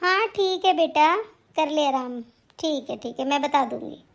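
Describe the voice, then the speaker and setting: Cartoon role: elderly mother-in-law played by a woman, extremely high voice, meek, aggrieved, placating. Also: unhurried, vocal fry. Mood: gentle, reassuring. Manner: plaintive, complaining, placating. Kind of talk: Hindi family cartoon drama dialogue.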